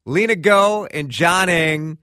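A man's voice: fairly high pitch, takes it slowly, very noisy. A man hosting a radio interview show, talking with guests in a studio in an upbeat, enthusiastic way. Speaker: radio host.

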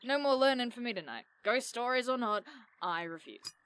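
A woman's voice: fairly high pitch, animated, moderate pace, a bit bright, smooth. A woman playing a reluctant, sarcastic young travel companion in an audio drama, with dry, teasing grumbles. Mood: amused, reluctant. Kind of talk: audio drama acting, naturalistic banter.

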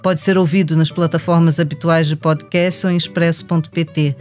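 A Brazilian-born woman journalist hosting a podcast interview, reflective and warm, often restarting her phrases. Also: low voice, level tone, a little fast, faint music behind.

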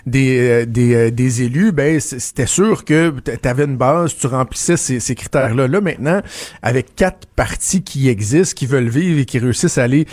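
A man's voice: mid-range voice, normal speed, darkish tone, dry recording. A man with a Québécois French accent, a political commentator chatting casually and telling anecdotes with frequent restarts. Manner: storytelling, many restarts and repeated words. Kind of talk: casual radio chat.

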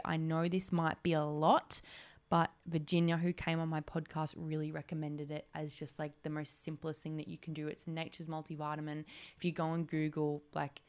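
A woman's voice: sits low, darkish tone. A woman in her twenties hosting a solo podcast, talking in a chatty, rambling way with lots of "like". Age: 20s. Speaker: podcast host.

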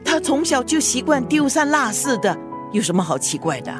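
A woman playing a stubborn old grandmother in a radio drama, grumbling and scolding with sharp retorts. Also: sits high, sing-song, moderate pace, over music. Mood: huffy.

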